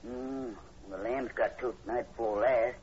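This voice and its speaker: A man's voice: mid-range voice, steady tone, measured pace, darkish tone, close to an everyday voice. An actor playing a timid, superstitious farm hired man with a rural Southern American accent in a 1940s radio horror play. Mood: wary, recounting. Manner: folksy, rustic, hesitant. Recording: muffled, noisy 1940s radio recording.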